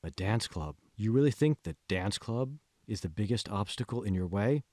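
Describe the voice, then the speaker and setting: Audiobook AI assistant, played by a man: low, slow, smug, manipulative, condescending, very dry sound. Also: expressive, a little mellow, dry recording, natural voice. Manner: slow, condescending, coolly controlling. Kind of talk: audiobook character voice.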